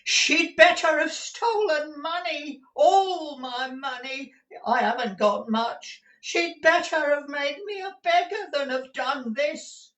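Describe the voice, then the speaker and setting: Storybook miser, old man: very high, shrill, panicked wail; echoey room. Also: takes it slowly, a bit bright, heavily acted. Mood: anguished wailing. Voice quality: shrill, wailing. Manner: panicked, repetitive lamenting. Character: shrieking, frantic old male miser and usurer. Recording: roomy echo, distant mic.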